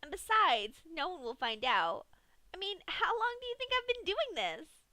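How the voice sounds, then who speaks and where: Bubbly high sing-song pink pony killer, bright and tense, voiced by a woman. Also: big pitch swings, normal speed, no echo, heavily acted. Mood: gleeful. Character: giddy sadistic pink female pony, cheerful killer.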